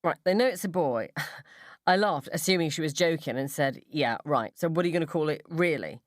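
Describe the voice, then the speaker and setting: A woman's voice: deep voice, a little fast, dry recording, clean studio sound, natural voice. Woman voicing a sneering, gossipy school-gate mum. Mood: gossipy disdain. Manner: emphatic, drawling, mocking.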